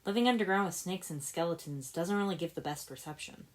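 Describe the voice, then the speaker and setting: A woman's voice: lowish voice, fast talker, lightly acted. A woman voicing a flippant young male ninja in a story, joking and teasing.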